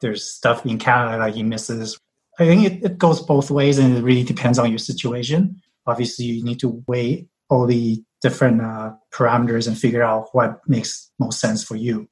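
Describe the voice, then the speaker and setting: Male guest from Taiwan, calm explainer, middle-pitched voice. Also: moderate pace, poor audio. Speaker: personal finance blogger and podcast guest. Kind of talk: casual panel discussion.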